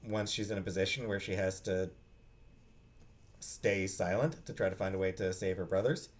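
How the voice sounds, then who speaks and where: Male vlog reviewer, fairly low voice, informal and off the cuff, halting delivery with frequent restarts. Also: fairly even intonation. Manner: halting, hesitant, trailing off and restarting. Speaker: book reviewer, vlog host. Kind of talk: casual unscripted book review chat.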